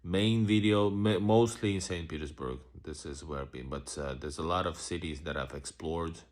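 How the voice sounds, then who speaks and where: Very deep-voiced rambling vlogger man. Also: normal speed. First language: Russian. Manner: loose and rambling, many restarts and fillers, switches between English and Russian. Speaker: vlogger hosting a live Q&A. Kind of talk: casual live-stream chat answering comments.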